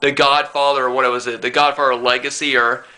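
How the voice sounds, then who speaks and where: Young man, stuffy nose, very bright tone, rambling casual chat, lots of ums and restarts. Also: mid-range voice, little pitch movement, a little fast. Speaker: collector vlogger. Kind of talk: casual to-camera show-and-tell.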